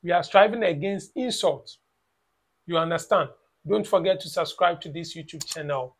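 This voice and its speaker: A man's voice: on the high side, moderate pace, gravelly, slightly rough. A man in his thirties with a Ghanaian English accent, talking to camera at home in a lecturing, emphatic vlog, repeating his points. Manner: lecturing, emphatic, repeats phrases, mocks with "blah blah". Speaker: YouTube reaction vlogger. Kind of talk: opinion vlog commentary. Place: small room at home, indoors.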